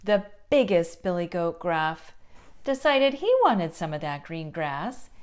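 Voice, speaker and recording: Woman, children's storyteller, very slow pace, animated pitch, expressive deliberate phrasing. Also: clean tone. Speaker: storyteller and puppeteer. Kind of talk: children's puppet show narration.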